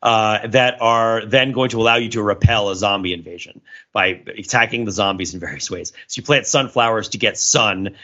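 A man hosting a podcast in casual chat, rambling through explanations in an animated, chuckling way. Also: lowish voice, moderate pace. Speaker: podcast host. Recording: dull, lo-fi audio.

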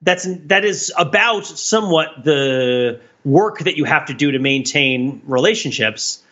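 A man co-hosting a podcast in casual analytical discussion, animated and given to acting out imagined remarks as examples. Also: on the high side, measured pace, dull audio. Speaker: podcast co-host.

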